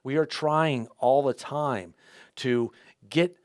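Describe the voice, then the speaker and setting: A man's voice: clear, resonant tone, no room sound. A man hosting an interview show, talking casually, restarting often and repeating words. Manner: halting, repeats words as he restarts, lively rises in pitch. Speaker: host.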